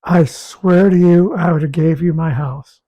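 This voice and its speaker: A hoarse man with a raspy, husky voice talks slowly in a casual chat. His tone is dark and mellow. He halts and repeats words as he looks for them. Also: fairly high pitch, poor audio. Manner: halting, repeats words, slow and deliberate. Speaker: guest sharing a health update. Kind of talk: casual conversation.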